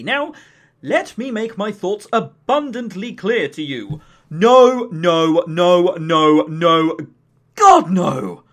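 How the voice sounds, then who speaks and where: An informal video reviewer with a very lively, swooping delivery. The talk is blunt and opinionated, with swearing and comic overstatement, and runs like partly scripted commentary. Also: mid-range voice. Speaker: visual novel reviewer and channel host.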